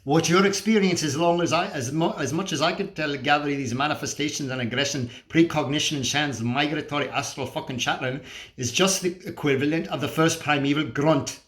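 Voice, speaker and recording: A man's voice: a little high, brisk, a bit bright, natural voice. A man performing a dramatic monologue as a cynical, feverish, scornful apocalyptic drifter, ranting emphatically and preachily with swearing and rhetorical questions. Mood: fervent.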